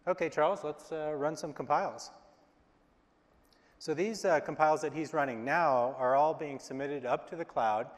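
A man presenting a product on a live stage, relaxed and speaking with frequent fillers. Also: a little high, normal speed. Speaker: IT engineer presenting. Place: large stage, hall. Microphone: lapel mic. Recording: reverberant hall.

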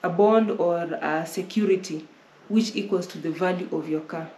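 A woman's voice: lowish voice, moderate pace, a little mellow. Young Kenyan woman, casual vlog host, talks it through and rephrases, roomy living room sound. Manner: repeats and rephrases while explaining. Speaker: travel vlogger host. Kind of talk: casual Q&A advice. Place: indoor living room, on a sofa. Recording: roomy with faint noise.

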